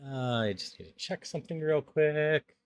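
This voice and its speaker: A game streamer chatting casually on a livestream in an easygoing, halting way. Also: medium pitch, lively intonation, clear, resonant tone, dry recording.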